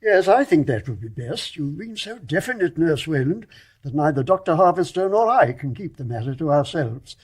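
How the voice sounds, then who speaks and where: An actor playing a courteous, cautious English major in a period radio drama, hesitant and politely measured, with slight stammers. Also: male voice, on the high side, sing-song, darkish tone, breathy edge. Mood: grave. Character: courteous, cautious retired-officer gentleman, gently reasoning family friend. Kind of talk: 1920s stage play as radio drama.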